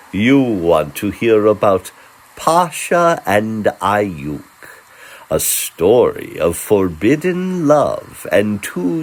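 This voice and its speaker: A male storyteller performs a fantasy narration in a very low, gravelly voice. He takes his time, drawing out phrases for suspense. His delivery is theatrical and dramatic. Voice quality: gravelly, raspy.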